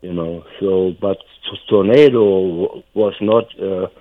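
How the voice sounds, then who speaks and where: Elderly German man on a tinny phone line. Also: sits low. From North Rhine-Westphalia, Germany. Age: 70s or older. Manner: halting, rambling, repetitive. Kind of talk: casual reminiscence.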